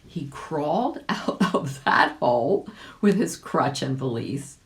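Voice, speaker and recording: A woman narrates a children's story in a low voice. She takes her time and swings her pitch widely, speaking straight to young listeners with animated expression. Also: takes it slowly. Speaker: volunteer audiobook reader.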